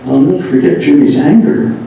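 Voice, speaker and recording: A man reads a written first-person memoir aloud, halting at times. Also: lively intonation, creaky. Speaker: reader of a memoir. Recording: muffled, very echoey room, distant mic, rumbling noise.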